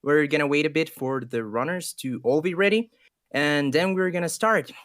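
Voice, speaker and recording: A man's voice: on the high side, moderate pace, a bit bright, dry recording, studio quality. A man from Ecuador with a Spanish accent, a native Spanish speaker, casting a livestream in a casual, improvised chatty style. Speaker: esports commentator.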